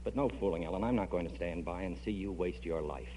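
An actor playing a protective family man in an old radio drama, brisk and persuasive. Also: low-pitched, mellow, close to an everyday voice. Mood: urgent, concerned. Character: protective adult family man advising a young woman. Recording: old radio recording with hum.

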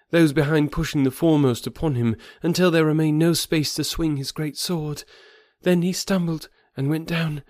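A man's voice: a little high, a little mellow, no room sound, studio quality, pitched above the performer's own voice, natural voice. A man voicing a devoted young woman who speaks fervently in formal, old-fashioned phrasing.

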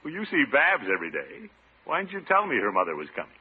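An actor playing a pompous, blustery, flirtatious middle-aged bachelor uncle in a vintage radio comedy. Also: male voice, fairly high pitch, wildly swooping pitch, quick pace, slightly rough, full character voice. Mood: flustered. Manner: pompous, blustering, chuckling self-importance. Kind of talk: 1940s-50s radio comedy acting. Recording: tinny, noisy vintage radio recording.

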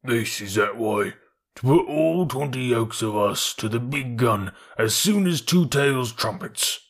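Man acting creaky, solemn, plodding old-world storybook ox. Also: medium pitch, lively intonation. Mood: stolid, solemn. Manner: slow, solemn, chanting cadence. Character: bullocks, stolid, fatalistic yoke of gun oxen speaking slowly.

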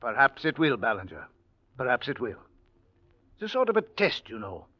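An actor playing a stern, controlling, wealthy old uncle in 1940s radio drama, imperious and deliberate. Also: male voice, medium pitch, sing-song. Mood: coolly musing. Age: older. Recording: dull vintage radio recording.

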